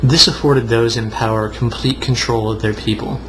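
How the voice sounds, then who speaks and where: A man's voice: medium pitch, fairly even intonation, moderate pace, fairly bright tone, slight background noise, low-quality recording. A young man reading aloud mock-seriously, with wry, sarcastic asides. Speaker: co-host.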